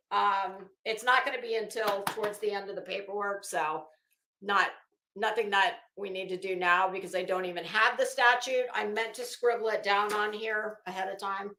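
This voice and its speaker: An American woman hosting a livestream, chatting casually with viewers in a sarcastic, blunt, sweary and animated way. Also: mid-range voice, slight background noise. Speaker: livestream host. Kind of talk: casual chat with live viewers. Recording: roomy, echoey sound.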